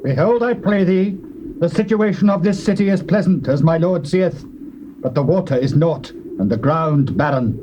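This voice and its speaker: An actor as mellow British townsman, humble. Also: on the high side, animated, natural voice, poor audio. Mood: humble pleading. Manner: deferential, measured pleading. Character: humble, respectful townsman petitioning a holy man. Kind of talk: dramatized scripture acting, archaic English. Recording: faint noise from old cassette tape.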